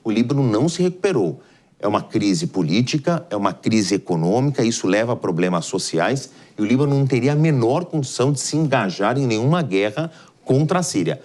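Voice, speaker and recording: A man's voice: medium pitch, sing-song, fairly quick, a little mellow. Brazilian man explaining the news, didactic and emphatic. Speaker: news analyst. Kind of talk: live explanatory news commentary.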